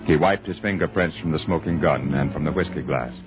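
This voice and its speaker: Male narrator, radio suspense drama: deep, dramatic, music underneath, muffled old recording. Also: fairly quick. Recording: dull vintage radio recording.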